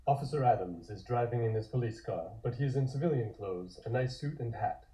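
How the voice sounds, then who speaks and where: A man reading stage directions as scripted narration at a table read, measured and descriptive. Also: mid-range voice, warm tone, poor audio.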